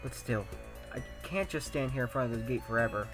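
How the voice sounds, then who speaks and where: An earnest, anxious high-school boy, acted by a man, thinks out loud in a mid-pitched voice. He narrates in the first person like a visual novel hero, questioning himself as he goes. Music plays clearly behind him. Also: brisk, natural voice. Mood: nervous, hopeful. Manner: self-questioning, musing aloud.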